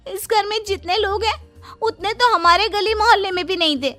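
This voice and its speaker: Give a very high, girlish voice to a selfish young bride played by a woman, dramatic and quick to complain, as in a cartoon family drama. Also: music in the background. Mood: whiny, frustrated. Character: selfish, whiny young newlywed daughter-in-law. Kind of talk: Hindi cartoon story dialogue.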